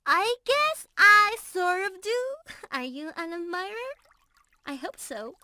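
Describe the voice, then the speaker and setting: A young mermaid in love, acted by a woman, speaks in a very high voice at an unhurried pace. She is tender, playful and prone to giggles, addressing her beloved directly in an intimate ASMR roleplay. Her tone is clearly bright and full of affection. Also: lively intonation, a little hiss or hum, exaggerated voice. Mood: happy, teasing.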